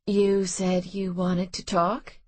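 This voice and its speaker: A woman voicing a calm, impassive female prosecutor who speaks matter-of-factly and firmly. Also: fairly low pitch, slow, no echo. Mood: cool. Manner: matter-of-fact, firm.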